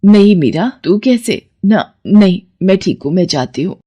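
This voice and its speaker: A woman's voice: medium pitch, lively intonation, warm tone, slight background noise, lightly acted. A woman voicing a hardworking widowed mother who scolds her daughter and is always in a rush. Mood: weak. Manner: scolding, hurried.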